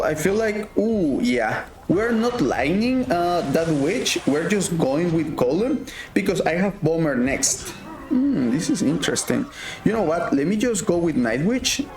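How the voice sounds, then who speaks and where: A man's voice: fairly high pitch, reverberant. A man from Mexico with a Mexican Spanish accent, casually commentating his own gameplay and thinking aloud with frequent fillers. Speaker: gaming YouTuber.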